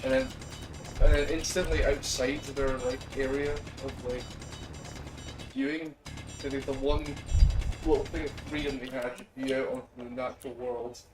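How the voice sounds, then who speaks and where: A man's voice: medium pitch, fairly even intonation, normal speed, recorded from a distance, over music. A man joining a friend's game stream, rambling through darkly funny stories with lots of "like" and filler. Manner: rambling storyteller, dark humor, lots of "like". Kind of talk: casual chat during gameplay. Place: shared room at a home gaming gathering. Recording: roomy, off-mic voice.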